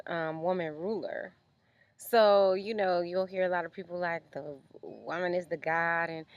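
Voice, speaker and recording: A young woman vlogging outdoors at night, explaining things in a loose, chatty way full of ums. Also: lowish voice. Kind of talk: casual explanatory vlog.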